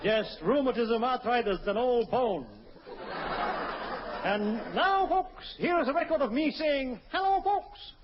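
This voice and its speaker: Very high-pitched, lively comedy announcer man. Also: unhurried. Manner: zany mock-formal. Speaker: comedy show announcer and performer. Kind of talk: mock-announcer radio comedy. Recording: dull, noisy 1950s radio recording.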